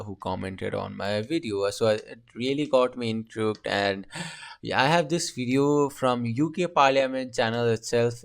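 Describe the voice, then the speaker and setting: Low gravelly man, halting reaction chat. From Asia. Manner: halting, with many fillers and restarts. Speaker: reaction video host. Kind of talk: casual reaction commentary.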